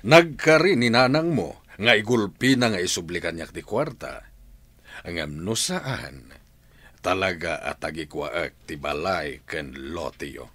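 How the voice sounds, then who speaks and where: Bossy older filipino moneylender dad, low slow voice that swings way up, radio drama. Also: male voice, lively intonation, fairly bright tone, no echo, full character voice. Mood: stern, menacing. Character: domineering older moneylender father pressing a debtor into marriage.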